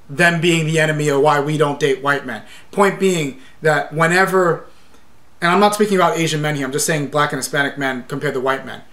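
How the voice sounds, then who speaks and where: Argumentative podcast host, fairly high voice. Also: male voice, fairly bright tone. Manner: argumentative, building a long point. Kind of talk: podcast interview.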